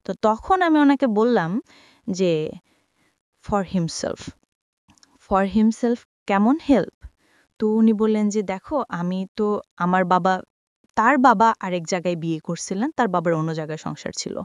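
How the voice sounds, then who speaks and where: A woman's voice: medium pitch, measured pace, long pauses, dry recording. A woman with a Bangladeshi accent telling a personal life story in a conversational way, mixing in English words and voicing reported dialogue. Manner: acts out reported dialogue while narrating; mixes English words into speech. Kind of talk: personal storytelling on a radio show.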